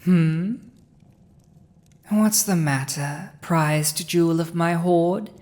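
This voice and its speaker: Villainous dragon usurper, played by a woman: slightly low female voice, drawn-out delivery, haughty, gloating, possessive, for fantasy audio roleplay. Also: darkish tone. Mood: amused, condescending. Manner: slow, imperious, teasing, with lingering pauses. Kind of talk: dramatic roleplay audio addressed to the listener.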